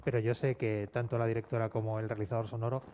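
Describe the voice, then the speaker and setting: A man's voice: on the low side, level tone, fast talker, dark tone. A man speaking informally as a writer on a discussion panel. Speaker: panelist, audio drama writer. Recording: noisy live event room.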